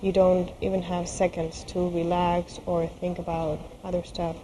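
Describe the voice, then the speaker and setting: Woman, interpreter, calm, matter-of-fact, warm mellow tone, faint background noise. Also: on the low side, moderate pace, poor audio. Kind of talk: documentary interview.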